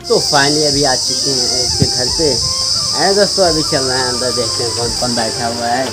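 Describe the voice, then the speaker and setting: A man's voice: mid-range voice, huge pitch swings, moderate pace, over loud music, rough recording. A young man with an Uttar Pradesh Hindi accent, chatting to his viewers as he walks through his village and farm, filming himself on a phone held at arm's length. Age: young adult. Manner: chatty, repeatedly addresses viewers as friends. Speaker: village vlogger. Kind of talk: casual vlog narration. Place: rural village, indoors and outdoors in fields. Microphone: phone camera at arm's length.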